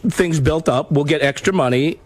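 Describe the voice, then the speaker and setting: A man in a heated studio discussion, complaining and defending himself with halting repetitions. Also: fairly high pitch. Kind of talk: argumentative casual studio talk.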